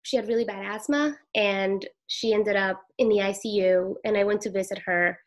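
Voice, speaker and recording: A middle-aged woman from Peru, an emergency room nurse, giving a halting, soft-spoken personal account in an interview. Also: mid-range voice, fairly even intonation.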